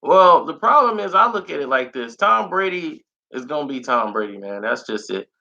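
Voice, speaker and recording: A man with a raspy voice, a little hoarse as he recovers from being sick, giving loose, slangy sports opinions in a casual chat. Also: on the high side, big pitch swings, normal speed, sharp tone. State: recovering from illness, slightly hoarse. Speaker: sports commentary vlogger. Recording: dull lo-fi sound.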